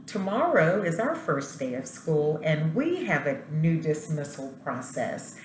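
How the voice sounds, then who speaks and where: A woman's voice: low-pitched, measured pace. Woman reading picture book to kids, very up and down voice, echoey w a bit of noise. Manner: expressive, addressing the children directly. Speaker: school principal reading aloud. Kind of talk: storybook read-aloud for young children. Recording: roomy echo, light background noise.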